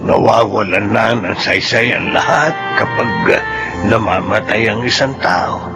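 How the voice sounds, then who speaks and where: An elderly Filipino man with a heavily creaky voice, in a TV drama. He speaks slowly and haltingly, frail and wistful, reflecting on old friends, with music clearly behind him. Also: fairly low pitch. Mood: somber, reflective. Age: older. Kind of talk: scripted TV drama dialogue and reflective narration. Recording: background music under the dialogue.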